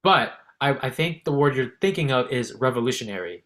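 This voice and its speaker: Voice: mid-range voice, expressive, a little fast, a bit bright. A podcast host asking long, rambling questions and repeating words while framing them. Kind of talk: casual interview questions.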